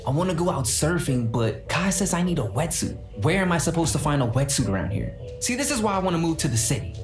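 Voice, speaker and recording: A man's voice: a little high, big pitch swings, fast talker, echoey room, music in the background. A man voicing a restless, grumbling young surfer in a video game. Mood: frustrated. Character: restless teenage boy surfer, grumbling and impatient. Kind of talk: voiced video game dialogue.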